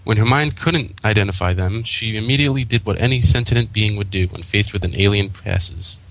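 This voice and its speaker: A man's voice: lowish voice, brisk, low-quality recording. A man reading scripted story narration as a fan fiction reader.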